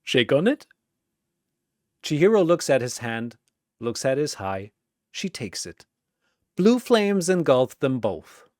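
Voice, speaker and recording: A narrator reading a dramatic scripted story in measured, suspenseful short phrases. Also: medium pitch, big pitch swings, a little mellow, dry recording, clean studio sound.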